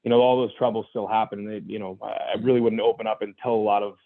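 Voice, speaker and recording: A man's voice: lowish voice, fairly even intonation, fast talker, no room sound. A young adult man, a guest, telling his own story candidly in conversation, earnest and reflective, with many restarts and "you know"s. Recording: tinny phone-like line.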